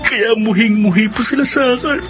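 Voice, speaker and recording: A man's voice: very high voice, brisk, over music. An actor playing a remorseful, intense young man in a radio drama, respectful to elders yet anguished. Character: troubled, remorseful younger brother burning for revenge. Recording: dull lo-fi radio drama recording.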